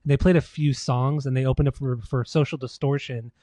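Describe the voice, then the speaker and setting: A man's voice: medium pitch, fairly even intonation, brisk, warm tone, dry recording. An American man co-hosting a podcast, chatting casually about music in an informal, slangy, sweary way. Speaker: podcast co-host.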